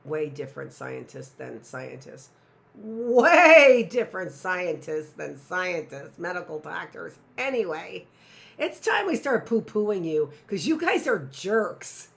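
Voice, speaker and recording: Middle-aged woman teacher rambling thru a live online lesson, low gravelly voice, sarcastic little asides. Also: very animated, slow pace. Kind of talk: live online class lecture.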